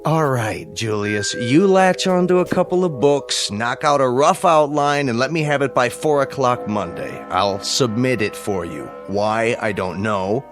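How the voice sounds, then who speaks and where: An actor playing an exasperated veteran talent agent in a comic drama, with a dry, cutting, deliberate delivery. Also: male voice, medium pitch, over music, lightly acted. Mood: grudging. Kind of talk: comic television drama acting.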